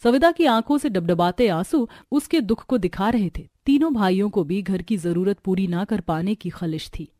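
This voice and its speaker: A woman's voice: mid-range voice, expressive, normal speed, few pauses, warm tone. A woman narrating a scripted cartoon story.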